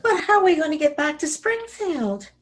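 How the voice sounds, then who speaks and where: An actress playing an impatient, self-absorbed teenage daughter in a radio sitcom. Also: female voice, high-pitched, sing-song, normal speed. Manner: indignant, urgent.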